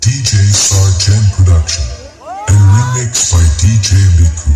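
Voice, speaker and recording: A man making a slow DJ sound-check announcement as a show announcer. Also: low voice, animated. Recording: heavy echo, distant, over music and crowd.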